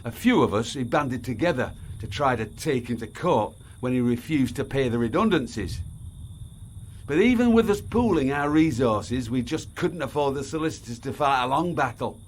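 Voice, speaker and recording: Working-class townsman, male actor, audio drama. Blunt, folksy, plain-spoken, mid-pitched, a bitter edge. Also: animated, moderate pace, slight background noise, natural voice. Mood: weary, resentful. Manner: blunt, folksy, says aye.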